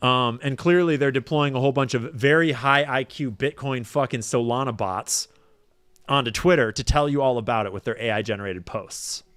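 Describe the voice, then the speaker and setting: A man's voice: mid-range voice, moderate pace, fairly bright tone, clean tone, dry recording. A man giving casual, profane commentary to his live chat, blunt and rambling, with lots of asides.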